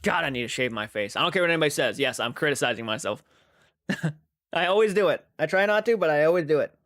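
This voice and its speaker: A man's voice: fairly high pitch, expressive, fast talker, fairly bright tone, no echo, clean studio sound. A man in his twenties casually chatting as a livestream host, rambling as he reads viewer comments aloud and answers them. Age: 20s.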